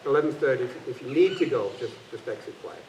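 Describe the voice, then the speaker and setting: Brisk man hosting a community gathering, giving announcements and introducing the guest. He is picked up from a distance in a reverberant hall, with faint noise behind him. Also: mid-range voice, lively intonation, a little mellow. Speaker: host introducing the speaker. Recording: echoey hall from far off.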